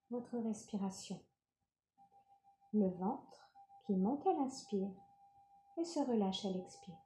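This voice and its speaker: A woman leading a guided yoga nidra relaxation, giving calm, gentle, unhurried instructions. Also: on the high side. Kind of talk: guided meditation, soothing instruction. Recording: roomy, reverberant sound.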